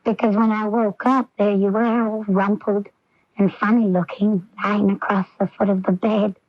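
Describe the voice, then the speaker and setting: An actress playing an earnest, intense young daughter in a 1980s radio drama, with deliberate, theatrical phrasing. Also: female voice, mid-range voice, little pitch movement, no room sound, close to an everyday voice. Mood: wondering, intense. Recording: dull, dry AM radio recording.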